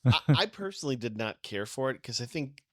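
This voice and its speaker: A man's voice: mid-range voice, expressive, a little fast, dry recording, studio quality. A man co-hosting a podcast, giving opinions and asking questions in casual conversation. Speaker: podcast co-host. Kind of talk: casual discussion.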